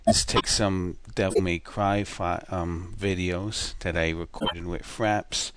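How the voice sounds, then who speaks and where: A man with a very low voice talks through a screen-recorded software tutorial. His delivery is relaxed and rambling, full of small restarts and fillers, like someone explaining at his own desk. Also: takes it slowly, no echo.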